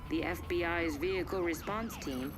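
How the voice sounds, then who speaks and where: A serious female news reporter played by an actress reads a bulletin in a video game. Her voice sits a little low, formal and measured, with clear music underneath. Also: lightly acted. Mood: urgent, formal.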